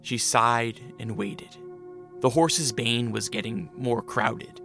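A male narrator reads a scripted story. His voice is mid-pitched with a noticeable creak, and soft music plays behind him. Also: takes it slowly.

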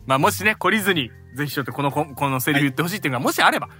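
A man's voice: fairly high pitch, expressive, rapid-fire, sharp tone, slightly rough, faint music behind. A man with a Kansai accent co-hosting a casual podcast, joking and laughing with his friends. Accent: Kansai Japanese. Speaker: podcast co-host. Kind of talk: casual chat.

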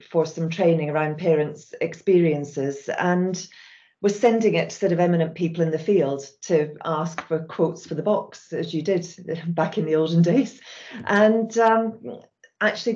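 A middle-aged woman with a Scottish accent, talking in a measured, reflective way and often restarting a phrase. Her voice sits low and dark. The recording sounds a little dull and muffled. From Scotland. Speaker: charity chief executive. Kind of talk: podcast interview answers.

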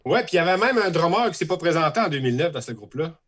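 A man, a band's guitarist, trading quick, teasing banter in an interview. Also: fairly high pitch, big pitch swings, a bit bright. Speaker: guitarist in a band. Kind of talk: joking interview chat.

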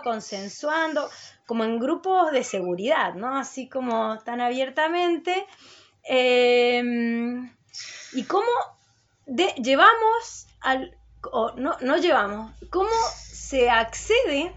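Woman, Chilean accent, high voice, hesitant casual interviewer, muffled lo-fi call recording. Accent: Chilean Spanish. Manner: hesitant, searching for words. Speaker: radio interviewer.

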